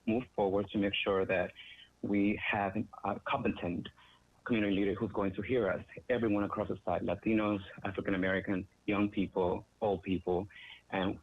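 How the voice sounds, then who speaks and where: A man's voice: on the low side, slightly rough. Man earnest caller, phone line. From El Salvador. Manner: earnest, repetitive, slightly halting. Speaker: public commenter calling in. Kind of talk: public comment speech. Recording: tinny phone line with faint noise.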